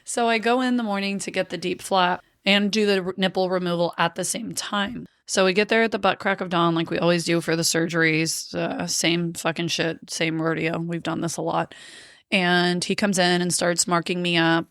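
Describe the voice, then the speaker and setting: A woman's voice: lowish voice, flat delivery, normal speed, a bit bright, no echo, studio quality. A woman in her late thirties telling her story on a podcast in a blunt, profane way, laughing often. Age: 30s. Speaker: podcast host. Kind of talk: casual storytelling chat.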